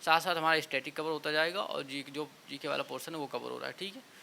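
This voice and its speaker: A man talks as an exam coach to his students. His voice sits fairly high and is bright and forceful. He advises informally, often checking in with short tags, over an audible background hiss. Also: fast talker, gravelly. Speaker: exam coaching teacher and channel host.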